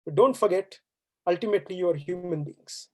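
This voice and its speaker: A woman's voice: on the low side, fairly quick. A woman from India whose first language is Hindi, giving a formal valedictory speech as a guest speaker at a university event, earnest and exhorting, with rhetorical questions. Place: university auditorium.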